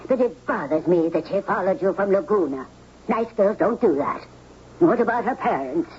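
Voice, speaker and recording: An actress playing an older, motherly woman in a 1970s radio drama, frank and gently admonishing. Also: mid-range voice, expressive, moderate pace, a little hiss or hum, close to an everyday voice. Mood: concerned, disapproving. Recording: muffled 1970s radio recording.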